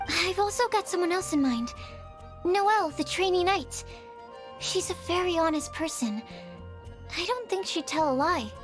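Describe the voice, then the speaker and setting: A sweet young female alchemist's assistant from a video game. The voice is extremely high, with an earnest, polite and gently explanatory delivery. Faint music plays underneath. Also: expressive, moderate pace. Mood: agreeable. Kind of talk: video game character acting. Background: background music.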